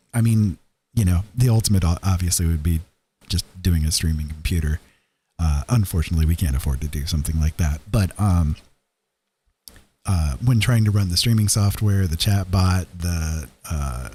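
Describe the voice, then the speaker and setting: Husky, mellow man chatting casually. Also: on the low side. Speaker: livestream host. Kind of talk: casual livestream chat.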